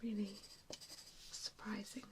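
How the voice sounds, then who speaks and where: A woman whispering an ASMR roleplay as a gentle vampire mentor, with a hesitant, reassuring and softly spoken manner. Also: mid-range voice, little pitch movement, slow, background noise. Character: gentle, self-doubting female vampire mentor to new recruits.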